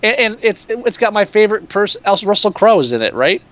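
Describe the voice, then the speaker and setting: Animated, profane co-host, lively high voice. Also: male voice, fairly quick. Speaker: podcast co-host. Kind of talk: casual movie chat.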